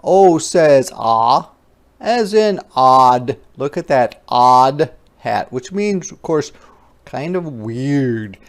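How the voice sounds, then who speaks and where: A teacher gives an interactive phonics read-aloud for young children. The delivery is extremely slow, with each sound and word carefully stretched and stressed. The voice is smooth and clear, with playful, expressive asides. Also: medium pitch. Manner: exaggerated, deliberate enunciation of letter sounds and words.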